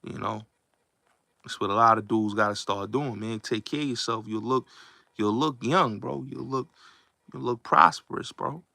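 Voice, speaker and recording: A man's voice: medium pitch, normal speed, vocal fry, bright, forceful tone, no echo. A man in his late twenties hosting a casual livestream, speaking emphatically and repeating himself as he addresses viewers directly. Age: late 20s. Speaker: host. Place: New York.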